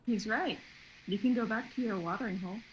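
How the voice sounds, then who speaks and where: A woman's voice: mid-range voice, a little fast, poor audio. A young adult woman acting out parts in a dramatic script reading. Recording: noticeable background noise, online stream.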